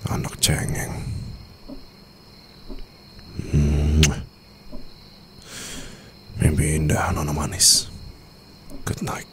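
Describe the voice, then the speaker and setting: Casting: male actor as composed mafia boss husband for ASMR roleplay, very deep, unhurried, formal, night ambience behind. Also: completely flat delivery, background noise. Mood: tender, hushed. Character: cool, controlling young mafia boss, newly married husband. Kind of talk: Indonesian ASMR roleplay acting.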